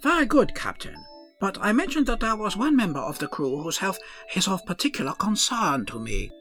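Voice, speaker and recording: A man's voice: high-pitched, very animated, fairly quick, fairly bright tone, faint music behind, heavily acted. A man playing an eccentric, pompous starship doctor with an odd made-up blended accent, long-winded and florid. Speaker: ship's doctor.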